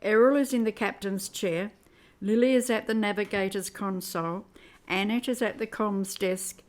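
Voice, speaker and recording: A woman's voice: medium pitch, steady tone, normal speed. A woman reading scripted narration for an amateur radio play.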